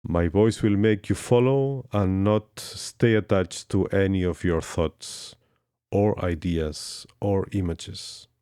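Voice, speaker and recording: Very deep, slow male meditation guide. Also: mellow, clear, resonant tone, dry recording, clean studio sound. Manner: slow, soothing instructions with long pauses. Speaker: yoga instructor. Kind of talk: guided yoga nidra meditation.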